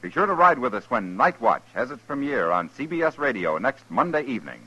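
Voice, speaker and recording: A dull, vintage 1950s radio recording carries a man's announcing voice. He is bright and emphatic, reading formal scripted copy with a slightly husky edge. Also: mid-range voice, sing-song, fairly quick.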